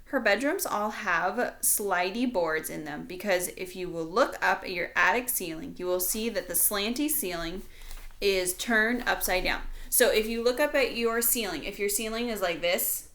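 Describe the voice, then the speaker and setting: Bright-voiced woman reading a children's storybook. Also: medium pitch, normal speed. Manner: explanatory, addressing young listeners directly. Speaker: teacher reading aloud to pupils. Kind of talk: children's storybook read-aloud with casual asides.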